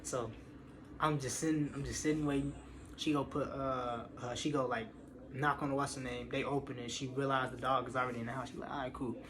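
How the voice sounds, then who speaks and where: A man's voice: medium pitch, fairly quick. A young American man casually telling a personal story in slangy, expletive-heavy speech, acting out what people said. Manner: animated slangy retelling with acted-out quotes, swearing freely. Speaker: online storyteller. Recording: clearly noisy background.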